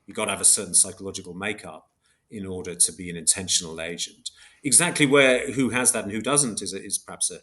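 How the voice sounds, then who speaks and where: Low-pitched man, careful academic explainer. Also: fast, pushed and bright. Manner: hesitant, precise, self-correcting. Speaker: philosopher guest, book author. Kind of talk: academic interview, explaining concepts.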